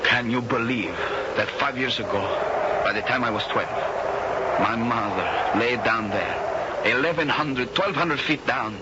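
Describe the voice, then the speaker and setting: A man's voice: mid-range voice, lively intonation, measured pace, lightly acted. Man as intense Spanish teenager, muffled radio. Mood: grim, bitter. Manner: brooding, earnest, formal phrasing. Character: intense, tightly wound, shy Spanish teenage boy. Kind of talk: 1970s American radio drama acting. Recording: muffled, noisy old radio recording.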